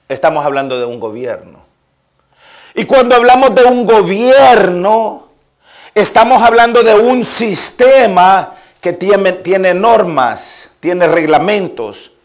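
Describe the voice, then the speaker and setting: A man in his fifties from El Salvador with a Salvadoran Spanish accent, preaching a sermon as a pastor in a repetitive, listing cadence with frequent amens. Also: high-pitched.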